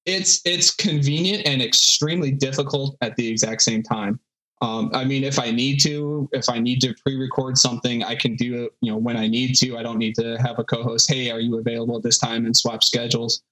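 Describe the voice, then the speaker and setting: A man in his thirties chatting casually as a podcast guest, halting with many fillers and self-deprecating jokes. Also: medium pitch, fairly even intonation, bright, forceful tone, low-quality recording. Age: 30s. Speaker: solo podcast host, guest.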